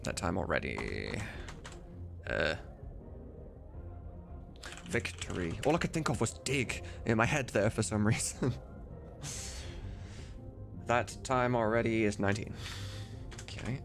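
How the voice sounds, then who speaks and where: A man's voice: fairly low pitch, big pitch swings, takes it slowly, gravelly, pauses often, a bit bright, faint music behind. A man livestreaming as he works, chatting casually with viewers and muttering to himself. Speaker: livestreamer and game modder. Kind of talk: casual chat while working.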